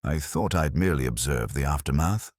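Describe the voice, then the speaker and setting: A mild police inspector from a mystery story, voiced by a male narrator. He speaks extremely low, soft and understated, with unhurried deadpan irony. The sound is dry. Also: dry recording.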